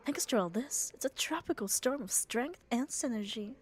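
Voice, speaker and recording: A woman playing a muscular, devoted, gently dominant yandere girlfriend in an ASMR roleplay, speaking tenderly and soothingly and often dropping to a whisper. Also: a little high, animated, moderate pace, natural voice. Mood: playful, doting. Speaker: ASMR voice performer. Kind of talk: ASMR roleplay, soft intimate acting.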